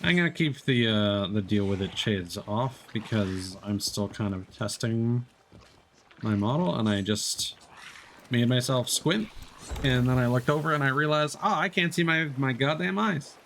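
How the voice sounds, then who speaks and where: A man streaming a video game, chatting along in a relaxed, joking way and laughing often. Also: mid-range voice, unhurried, a little hiss or hum. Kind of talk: casual gameplay commentary.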